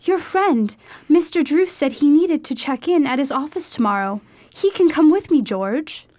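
A woman's voice: sits high, lively intonation, normal speed, darkish tone. A woman playing an eager, willful young fiancée in a radio drama, earnest and girlish in manner. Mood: pleading, eager.

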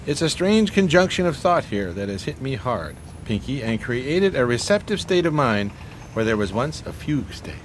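A man's voice: mid-range voice, very animated, moderate pace, slight background noise, lightly acted. An actor playing an eloquent, melancholy homeless man of 1920s New York in florid radio-theater style. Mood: brooding, remorseful. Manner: florid, grandiloquent, theatrical phrasing.

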